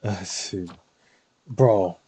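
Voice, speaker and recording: A man's voice: lowish voice, very slow, dark tone, faint music behind. A tired man chatting casually on a game livestream, rambling and slangy, repeating himself as he goes. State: tired after very long stream.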